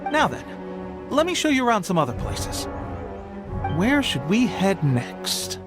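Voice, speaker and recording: An actor playing an eager, cocky young resistance soldier in a video game, expressive and boastful. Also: male voice, sits high, measured pace, full character voice. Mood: confident. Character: eager, cocky young male resistance soldier craving front-line battle. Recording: game music behind the voice.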